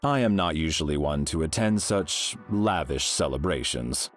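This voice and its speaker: A solemn hero in a video game. His voice is extremely low yet moves around expressively, with formal, measured phrasing and faint music behind it. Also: lively intonation. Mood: grave, formal. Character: solemn, stately male game hero, grave and philosophical. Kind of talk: video game character dialogue.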